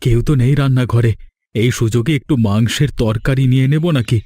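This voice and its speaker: A man's voice: mid-range voice, brisk, mellow, no room sound, natural voice. A man voicing a humble, anxious, poor farmhand father in a fairy tale cartoon, speaking deferentially and pleadingly. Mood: furtive, troubled. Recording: music behind the voice.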